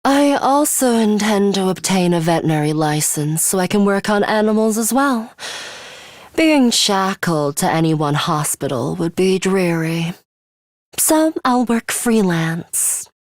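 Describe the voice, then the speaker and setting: A woman's voice: mid-range voice, expressive, unhurried, dry recording, clean studio sound, lightly acted. A woman playing a serious, coolly arrogant schoolgirl fighter with a bloodthirsty streak in a video game, speaking in a formal, precise, faintly sinister way. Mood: composed, aloof. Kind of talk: English video game voice acting, measured monologue.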